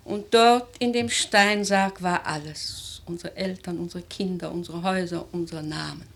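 A woman playing an aristocratic old grandmother in a German radio drama, speaking in a theatrical, measured, lyrical way, worldly and imperious. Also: lowish voice, gravelly, a little hiss or hum. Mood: grave, remembering. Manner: theatrical, measured, lyrical, with emphatic swells and hushed phrases.

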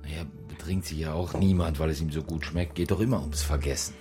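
An actor playing a probing, weary police detective in a radio crime drama, with a husky voice and terse, sardonic questions. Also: male voice, extremely low pitch, fairly even intonation, fast talker, dark tone, faint music behind. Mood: weary, pensive.

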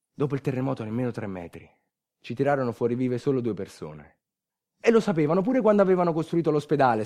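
A man performing a scripted stage monologue, dramatic, moving between hushed and emphatic delivery. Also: mid-range voice. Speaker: stage actor, narrator of a monologue. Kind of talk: theatrical scripted storytelling.

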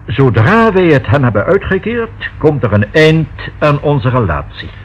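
A man's voice: on the high side, sing-song. A man acting a discreet, proper notary in a Dutch radio play, speaking formally and choosing precise words. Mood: patient, explanatory. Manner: formal, measured, precisely worded. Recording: dull, faintly noisy old radio recording.

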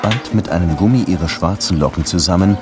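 A male actor plays a world-weary Cuban detective with an extremely deep voice. He narrates his case like a radio drama, with music clearly underneath. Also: a little fast, natural voice. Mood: wry, reflective. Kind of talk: radio play narration and dialogue.

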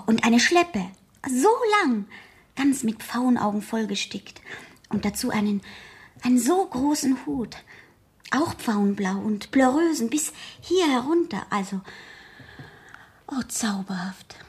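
Eager teen girl, naive and dreamy, highish breathy voice, old radio drama. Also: a little mellow, full character voice. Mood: dreamy, rapturous. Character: naive, eager teenage schoolgirl, candid and dreamy. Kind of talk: 1970s German radio play acting.

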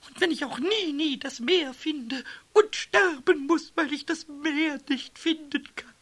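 An actor playing a cheeky, sulky, childlike little male kobold with a squeaky voice and whiny, repetitive speech in a German children's radio play. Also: unhurried, fairly bright tone. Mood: tearful, self-pitying. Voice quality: high, squeaky, piercing. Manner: whiny, stammering repetitions, singsong rhyming.

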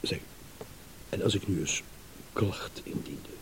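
An actor playing a shrewd, amused businessman in a radio drama, teasing and insinuating with hesitant pauses. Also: deep voice, whispered, low-quality recording. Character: shrewd, amused businessman, a blackmail victim turning schemer. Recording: hissy old radio play recording.

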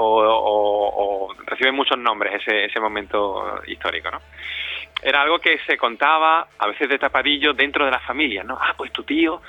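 A man giving spontaneous interview answers over the telephone, halting and searching for his words. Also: mid-range voice, dry recording. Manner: halting, with many pauses and searching repetitions. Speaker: interview guest, radio scriptwriter and director. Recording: dull lo-fi telephone call.

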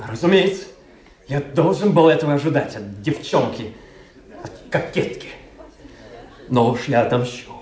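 An actor playing a vain, lovesick young officer in a Russian radio play, with a theatrical, high-flown manner. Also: male voice, animated, moderate pace, pauses often, a little mellow, close to an everyday voice. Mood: resentful. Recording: roomy echo, faint music behind.

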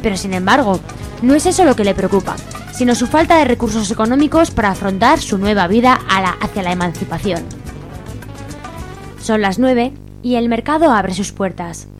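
A woman's voice: medium pitch, animated, moderate pace. A woman reading scripted narration for a radio soap, with music behind her. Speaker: narrator of a community radio serial.

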